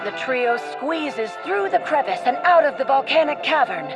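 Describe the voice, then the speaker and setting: A woman's voice: high-pitched, expressive, moderate pace. A woman narrator reading scripted adventure storytelling in a theatrical, literary manner. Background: music.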